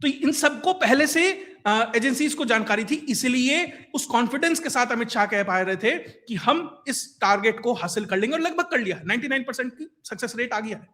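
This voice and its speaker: A man's voice: very high-pitched, expressive, moderate pace, hardly any pauses, bright, forceful tone. A man giving conversational, explanatory news commentary, emphatic, with rhetorical questions and lists. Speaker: commentator and host of an online current affairs show.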